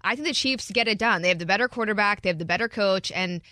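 Fast-talking Philly woman analyst. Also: mid-range voice, bright, forceful tone, no room sound, studio quality. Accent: Philadelphia. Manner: fast, chatty, laughing storyteller. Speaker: sports betting analyst guest. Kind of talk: fast radio studio chat. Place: radio studio.

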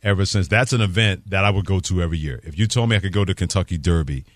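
Low-voiced American man hosting sports radio. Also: rapid-fire, no echo. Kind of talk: sports talk radio, opinionated banter.

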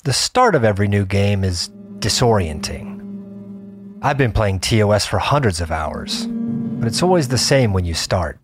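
An earnest, game-obsessed guy, played by a man, narrates his session in a fairly low voice. He confides in short, broken phrases, as in an audio drama, over faint music. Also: sing-song, moderate pace. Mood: absorbed, puzzled. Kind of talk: first-person scripted audio drama narration.

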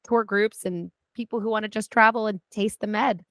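A woman in her forties, guest on a chat show, telling a lively story with many restarts and asides. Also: medium pitch, moderate pace, no room sound, clean studio sound. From United States. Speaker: guest, food historian and cooking teacher. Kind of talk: casual interview chat.

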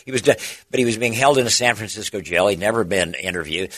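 A middle-aged man with a big booming voice, a guest telling stories in a casual chat, animated and halting with many restarts. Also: no room sound. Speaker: podcast guest, veteran TV reporter.

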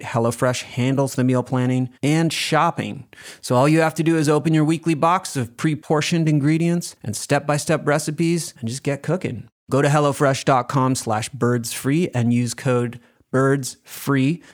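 A man, the creator of a show, delivering a scripted ad read to listeners. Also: medium pitch. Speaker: show creator reading a sponsor message.

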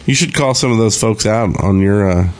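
A man's voice: lowish voice, moderate pace, background noise. A man chatting casually as a podcast co-host about gaming.

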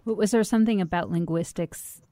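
Mellow-voiced woman interviewer. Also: medium pitch, brisk, dry recording. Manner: curious, searching questions. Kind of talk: interview questions.

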